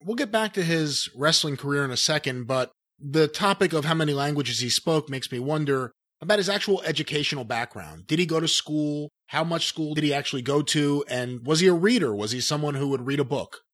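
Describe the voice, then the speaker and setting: A man's voice: fairly high pitch, lively intonation, fairly quick, a bit bright. A man interviewing a guest and asking curious follow-up questions.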